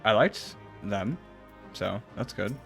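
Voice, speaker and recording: A man's voice: lowish voice, expressive, slow pace, creaky. A man chatting casually and sometimes profanely while streaming an online game with friends. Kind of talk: casual gaming chat with friends. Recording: game music behind the voice.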